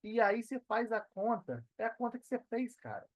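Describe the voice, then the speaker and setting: A man's voice: high-pitched, big pitch swings, a little fast, a little hiss or hum. A Brazilian man speaking as a podcast guest in casual, slangy conversation, earnest and emphatic, repeating phrases for emphasis. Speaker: podcast guest, digital entrepreneur. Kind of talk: casual conversational interview talk.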